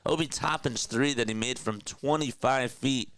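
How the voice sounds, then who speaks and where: A man with a stuffy nose calling live basketball play-by-play in an energetic, chatty way, talking to his viewers between plays. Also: mid-range voice, moderate pace, dry recording. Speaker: play-by-play caller.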